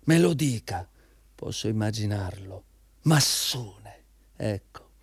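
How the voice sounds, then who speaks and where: A man's voice: fairly low pitch, expressive, slow, slightly rough, no echo, full character voice. An actor in a radio drama playing a proud, jobless father who pleads and argues defiantly, repeating his phrases insistently. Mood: proud, bitter. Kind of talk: theatrical radio drama dialogue.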